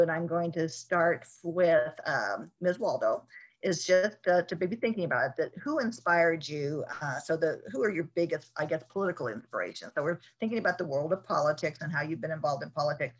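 Older lady running a zoom panel, lowish voice, stumbles and repeats a bit. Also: animated, poor audio. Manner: halting, repeats words while asking questions. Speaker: panel moderator. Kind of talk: moderating a video call panel. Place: home room. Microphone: video call.

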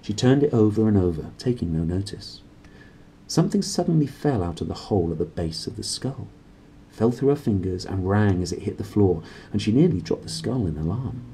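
Male storyteller, thirties. Low, mellow, slightly husky; swooping, dramatic read. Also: very animated, brisk, slight background noise, poor audio. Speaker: storybook reader. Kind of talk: expressive read-aloud of a children's novel. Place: small cluttered room indoors.

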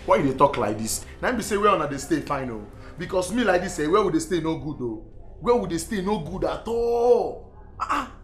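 A man's voice: high voice, big pitch swings, a little fast, a little hiss or hum. A man with a Nigerian accent playing an evasive young boyfriend in a Pidgin comedy-drama, stammering and whining his innocence. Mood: flustered, defensive. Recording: echoey room, music behind.

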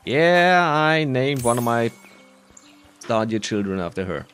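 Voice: mid-range voice, very animated, unhurried, a bit bright, smooth, soft background music. A gaming creator giving casual playthrough commentary, rambling and muttering while thinking aloud.

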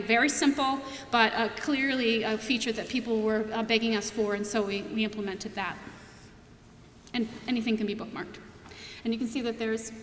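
A woman's voice: on the high side, fairly bright tone, a little hiss or hum. A young adult woman giving a conference keynote from a podium, talking in a casual way with many fillers and restarts. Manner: conversational, frequent fillers and restarts. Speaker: keynote speaker presenting product features. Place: large auditorium stage at a podium. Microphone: podium mic from a far camera. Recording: echoey auditorium with long reverb.

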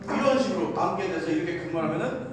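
Man in his thirties, fitness coach, fairly high voice, echoey gym, far from the camera. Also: fast, music in the background. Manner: animated, demonstrating with gestures while talking. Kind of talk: explanatory exercise lesson. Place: large indoor gym.

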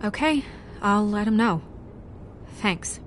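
A woman's voice: mid-range voice, expressive, a little hiss or hum. Stern, principled young woman. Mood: businesslike. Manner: measured, firm, formal. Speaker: deputy mayor character. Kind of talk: video game voice acting. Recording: music behind the voice.

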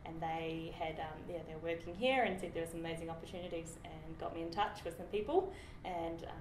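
A woman's voice: deep voice, fast talker. A young woman from New Zealand with a New Zealand accent, chatting casually as an engineer being interviewed, with frequent fillers and restarts. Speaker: project engineer guest. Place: office meeting room with large windows. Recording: roomy office with steady background noise.

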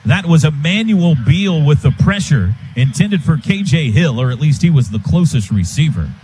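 A man's voice: fairly high pitch, normal speed, low-quality recording. An American man calling live football play-by-play on the radio in clipped phrases that rise with the action. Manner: clipped phrases, excited calls rising with the action. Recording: loud stadium noise behind radio broadcast. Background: stadium ambience.

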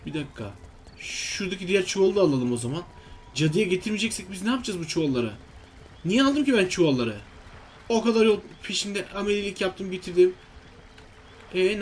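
Male gaming host, high voice, chatty and full of exclamations and questions to himself, faint noise behind. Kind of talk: casual let's-play commentary to viewers.

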